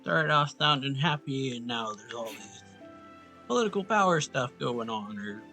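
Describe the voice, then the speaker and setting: Bright-voiced man with a gravelly creak. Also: mid-range voice, animated, moderate pace, faint music behind. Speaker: game streamer. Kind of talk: casual gameplay commentary.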